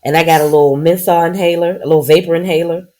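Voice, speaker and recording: A woman co-hosting a podcast, her voice husky and congested from a stuffy nose, chatting casually with sassy comebacks. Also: lowish voice, fairly even intonation, quick pace, a crowd in the background. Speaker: podcast co-host. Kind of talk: casual chat.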